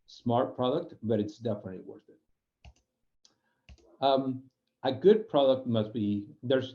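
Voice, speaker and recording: A man with a Spanish accent explains design ideas in a loose, unscripted talk, his pitch sitting in the middle range. He often breaks off and restarts his sentences while he hunts for the right word. The recording sounds a little dull and muffled, like online talk audio. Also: warm tone. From Spain. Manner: halting, restarts phrases, searches for words. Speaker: UX program manager giving a guest talk. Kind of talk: informal explanatory presentation.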